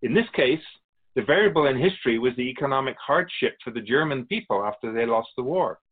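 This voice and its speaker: Man as measured, solemn keeper of souls. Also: medium pitch, lively intonation, breathy edge, narrow, tinny audio. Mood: calm, instructive. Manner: measured, didactic, formal. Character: calm otherworldly male soul keeper, earnest storytelling spirit. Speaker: interviewee. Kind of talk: radio drama dialogue.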